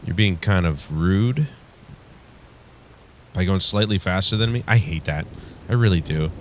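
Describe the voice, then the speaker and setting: A man hosting a casual gameplay commentary, rambling and wryly griping, his voice congested and strained from yelling. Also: deep voice, a little slow. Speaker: gaming show host. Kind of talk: casual let's play commentary. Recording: clear background noise.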